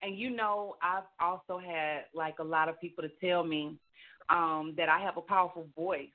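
A woman guest on a radio show talks about her music in an upbeat, chatty way, often repeating herself and filling gaps with "you know." Her voice sits fairly low for a woman. It comes through a thin phone line. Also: fairly even intonation, normal speed. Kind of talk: casual radio interview.

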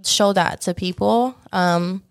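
A woman's voice: mid-range voice, slow, gravelly, no room sound, clean studio sound. A young woman from northern Vietnam, a salon owner talking casually and bluntly about her business plans, swearing now and then. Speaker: lash salon owner, guest. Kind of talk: casual podcast chat.

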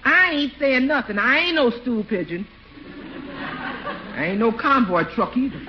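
A stubborn, put-upon cook in a 1940s radio comedy. Her folksy complaints come in a high, very animated voice. The old recording is muffled. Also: a little slow, noisy background. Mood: stubborn, indignant. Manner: folksy, complaining, emphatic repetition. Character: grumbling, put-upon household cook, comically stubborn woman.

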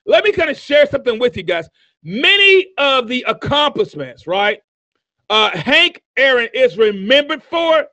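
A man in his fifties giving an emphatic motivational sermon, repeating phrases and building to shouts. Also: very high voice, no echo. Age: 50s. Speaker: motivational preacher. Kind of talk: sermon-style motivational speaking.

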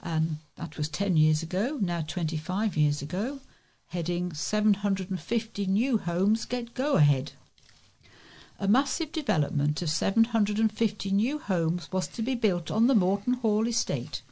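A woman's voice: mid-range voice, lively intonation, measured pace, mellow. English woman reading out local news. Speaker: volunteer newsreader. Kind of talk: reading old local news items aloud with informal asides.

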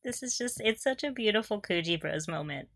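A young woman speaks in a forceful, bright voice with a mid pitch, recorded dry and without room sound. She co-hosts a casual fan podcast. Her talk is playful, gushing and often giggly. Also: animated, a little fast, no echo. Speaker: podcast co-host. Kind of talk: casual fan discussion of audio dramas.

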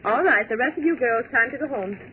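Actress as a brisk, fast-talking stern lady boss. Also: a little high, lively intonation, lightly acted. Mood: brisk, businesslike. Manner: formal, scolding, clipped. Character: stern, brisk female office supervisor, prim and authoritative. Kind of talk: 1940s radio acting. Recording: tinny, noisy 1940s radio recording.

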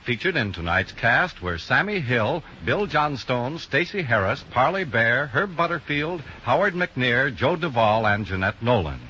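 A man's voice: mid-range voice, big pitch swings, a little slow, few pauses, bright, forceful tone, slight background noise. An American man announcing in a polished, emphatic 1950s radio style, reading scripted copy. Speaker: radio announcer and sponsor pitchman. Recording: dull 1950s radio broadcast recording.

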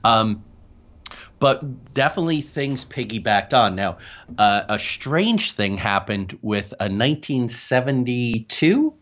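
A man telling stories as an interview guest, halting and digressive as he talks. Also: medium pitch, big pitch swings, fairly bright tone, muffled recording. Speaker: podcast guest, paranormal investigator. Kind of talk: conversational storytelling in an interview.

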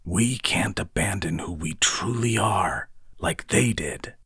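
An earnest, devout young brother, as a male narrator voices him, speaking in a breathy, hushed whisper. His voice is low and slow with a bright, tense edge, and it sounds dry and close to the mic. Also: no room sound, full character voice. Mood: pleading. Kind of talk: audiobook character voice.

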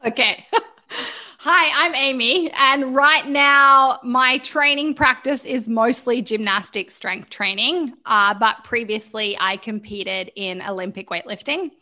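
A woman's voice: on the high side, slow, bright, forceful tone. A woman in her thirties, an athlete guest on a group podcast, speaking in a playful, self-assured way. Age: 30s. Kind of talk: casual group chat.

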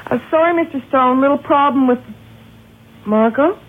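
An actress playing a tough, stubborn laundromat keeper, practical and emphatic, in an old-time horror radio drama. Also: female voice, high-pitched, normal speed, natural voice. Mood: puzzled. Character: tough, stubborn woman minding an all-night laundromat. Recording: tinny, noisy old radio recording.

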